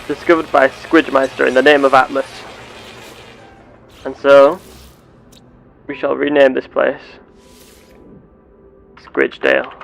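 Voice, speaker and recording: A man voicing a curious, earnest space explorer with measured, formal, theatrical phrasing, narrating a sci-fi game roleplay. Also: mid-range voice, little pitch movement, vocal fry, fairly bright tone, soft background music, low-quality recording. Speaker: gameplay host.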